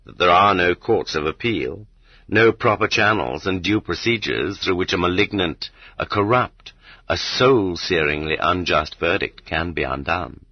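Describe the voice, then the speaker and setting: A man narrating a literary audiobook in a deliberate, rhetorical, sardonic manner, with a husky voice, on a dull cassette transfer. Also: deep voice, no room sound.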